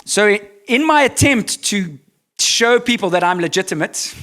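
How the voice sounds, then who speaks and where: A South African man preaching in English, pausing for an interpreter, telling candid, self-deprecating stories. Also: sits high, expressive, fairly bright tone. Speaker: pastor. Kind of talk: sermon with live interpreter.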